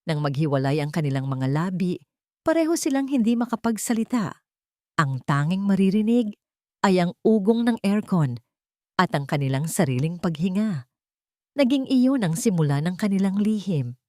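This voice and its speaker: A woman's voice: on the low side, big pitch swings, normal speed, a little mellow, dry recording, clean studio sound. A woman storyteller narrating a scripted romantic story.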